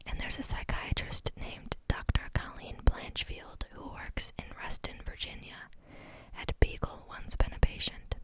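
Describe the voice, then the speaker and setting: A woman reading a book aloud in a soft, unvoiced whisper, steady but sometimes stumbling on a word and starting it again. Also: unhurried. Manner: reads steadily, stumbles and restarts on words. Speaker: reader.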